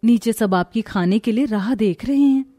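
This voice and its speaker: A woman voicing a dutiful, caring young daughter-in-law in a cartoon moral story, respectful and gentle in manner. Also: on the high side, moderate pace, close to an everyday voice.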